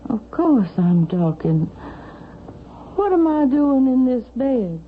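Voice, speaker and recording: Tinny old-radio haughty older matriarch. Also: female voice, high-pitched, very animated, slow, exaggerated voice. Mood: bewildered, testy. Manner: haughty, demanding, sharp-tongued. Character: imperious, indignant older Southern mother recovering in hospital. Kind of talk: 1970s radio drama acting. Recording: tinny, noisy vintage radio recording.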